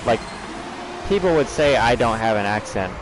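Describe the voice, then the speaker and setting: A man's voice: medium pitch, animated, normal speed, music in the background. A young American man with a General American accent, chatting loosely and laughing as he streams a game and talks to his chat. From United States. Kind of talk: casual livestream chatter over gameplay.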